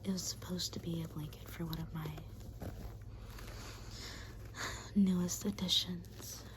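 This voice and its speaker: A woman playing a menacing spider demon in an ASMR roleplay, whispering breathily in a rambling, deadpan, casually cruel way close to the mic. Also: lowish voice, natural voice. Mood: wistful, accusing. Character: menacing female spider demon toying with her human prey. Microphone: close ASMR microphone. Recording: hissy close recording with clicks.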